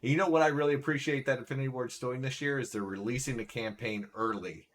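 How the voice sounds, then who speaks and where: A man's voice: mid-range voice, quick pace. A man hosting a live stream, chatting casually and saying "like" and "right?" a lot. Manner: relaxed, says "like" and "right?" often. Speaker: streamer host.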